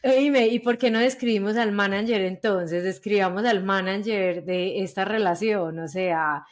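A woman's voice: mid-range voice, a little slow. A Colombian woman hosting a podcast in a casual interview style, talking in a chatty, colloquial and emphatic way. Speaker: podcast host.

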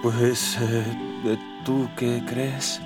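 A man's voice: mid-range voice, flat delivery, very slow, music in the background. A man playing a streetwise, wary Mexican space adventurer in a radio drama, speaking in colloquial mutters. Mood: nervous, flustered.

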